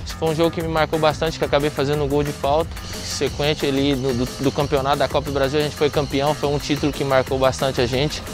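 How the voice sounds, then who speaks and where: A man's voice: fairly high pitch, fast, few pauses, over music. Chatty man on an outdoor sand court. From Venezuela. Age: 30s. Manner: relaxed, chatty, with restarts and repeated words. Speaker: interviewed former footballer. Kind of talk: casual interview.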